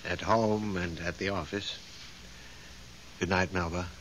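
An actor playing a polite, awkward, hesitant gentleman courting a widow in a 1970s radio drama. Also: low voice, fairly even intonation, a little slow. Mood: awkward, courteous. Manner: hesitant, mannerly. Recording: faint hiss, 1970s radio.

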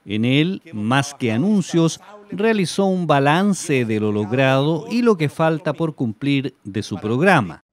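A man with a Chilean accent narrating a regional television news report in a measured, scripted way. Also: mid-range voice, very animated. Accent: Chilean Spanish.

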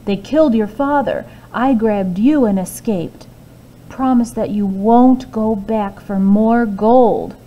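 A woman's voice: on the high side, slow, highs cut off. A woman voicing a sick widowed mother in a read-aloud storybook, scolding in a raised voice. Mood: earnest.

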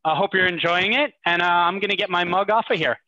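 A man's voice: high voice, quick pace, bright, forceful tone, no echo. A man presenting a charity event, casual and encouraging, in a fundraising appeal over a video call. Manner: informal, encouraging. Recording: muffled dry video call.

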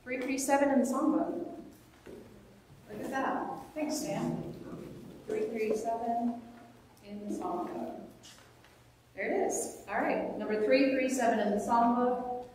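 A woman's voice: a little high, unhurried, pauses often, a little mellow, a little hiss or hum. A middle-aged woman leading a church service, talking informally with fillers and praying aloud. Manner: informal and chatty with fillers between announcements. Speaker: worship leader. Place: large church sanctuary, indoors. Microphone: far camera at the back of the hall. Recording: echoey church hall from far off.

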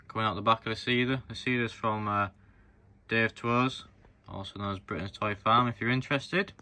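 Male hobby reviewer, low-pitched but bright and forward, casual and explanatory delivery. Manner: informal, explanatory, addressing viewers directly. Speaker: presenter of a farm model review channel. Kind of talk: casual hands-on review narration.

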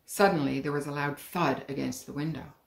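A middle-aged woman, an author, reading her children's book aloud at home in a calm, clear storytelling manner. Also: low voice, a little fast. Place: living room at home. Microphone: webcam, head and shoulders. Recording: roomy living room.

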